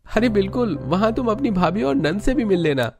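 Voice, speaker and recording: Guy doing eager young married son in a cartoon, voice pitched way up. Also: big pitch swings, brisk.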